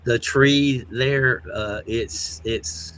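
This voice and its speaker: Slow folksy Appalachian man. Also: medium pitch, steady tone, fairly bright tone, slight background noise, poor audio. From North Carolina mountains. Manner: slow, folksy, hesitant with many uhs and corny jokes. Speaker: host. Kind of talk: casual livestream chat.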